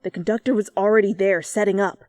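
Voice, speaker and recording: A woman's voice: mid-range voice, quick pace, no room sound. Woman reading fanfic dramatically, close dry mic, bit of music behind. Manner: expressive, theatrical. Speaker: fan fiction narrator. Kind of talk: dramatic storybook-like narration. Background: background music.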